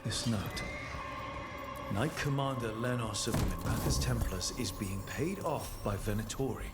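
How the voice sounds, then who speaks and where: Man playing an earnest, lowish-voiced fantasy hero. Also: normal speed, lightly acted. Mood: determined. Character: earnest, determined young male fantasy hero. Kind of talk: dramatic video game acting. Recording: music behind the voice.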